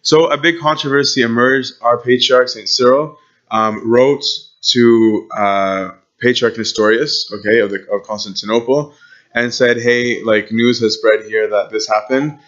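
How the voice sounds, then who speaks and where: A man's voice: mid-range voice, fairly even intonation, measured pace, a bit bright, dull audio. A man giving an informal church history lecture, often checking in with his listeners by asking "okay?" and "right?". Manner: conversational, frequent "okay?" and "right?" check-ins. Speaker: church teacher.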